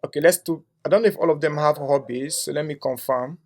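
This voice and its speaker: Young male coding tutor, slightly high voice, thinks aloud and checks in with "right?". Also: fast. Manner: thinks aloud while typing, frequent "um" and tag "right?". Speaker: coding instructor. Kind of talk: screen-share coding tutorial.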